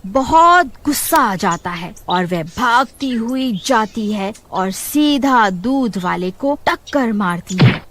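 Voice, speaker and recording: A woman's voice: fairly high pitch, expressive, slow pace, hardly any pauses, fairly bright tone, a little hiss or hum. A woman narrating a scripted moral story as a storyteller.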